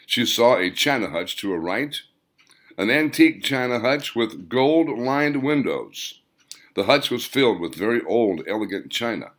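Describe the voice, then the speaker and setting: A man reading his own fiction aloud as scripted audiobook narration, in a deliberate manner. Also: lowish voice, a bit bright.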